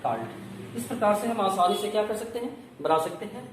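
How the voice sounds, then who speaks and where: A man's voice: high-pitched, animated, brisk. A man with a North Indian accent teaching grammar in a didactic classroom style, often asking questions and answering them himself. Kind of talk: classroom-style lesson in Hindi with English terms. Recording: very echoey room, distant mic, faint noise.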